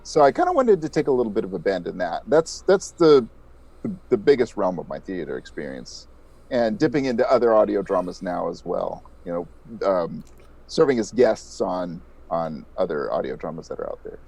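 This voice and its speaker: A man's voice: low-pitched, sing-song, normal speed, creaky, darkish tone, breathy edge. A middle-aged man, a voice actor and narrator, talking conversationally as an interview guest in an explanatory, enthusiastic way.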